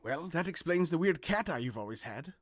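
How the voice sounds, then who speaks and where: An actor playing a hapless, self-absorbed wealthy gentleman in a comedic mystery audio drama. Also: a little high, expressive, a little fast, dry recording, studio quality. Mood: bewildered. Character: foppish wealthy male host, hapless, self-absorbed, flustered.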